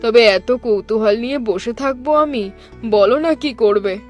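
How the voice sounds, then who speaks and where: A woman's voice: a little high, moderate pace, darkish tone, faint music behind, close to an everyday voice. A woman voicing an inquisitive village shopkeeper in cartoon dialogue. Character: inquisitive village shopkeeper woman, familiar elder-sister type.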